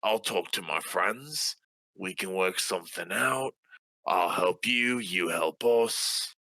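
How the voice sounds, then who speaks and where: A man playing a tabletop role-playing game, improvising conversational dialogue in a halting, persuasive way. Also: sits low, creaky, pushed and bright.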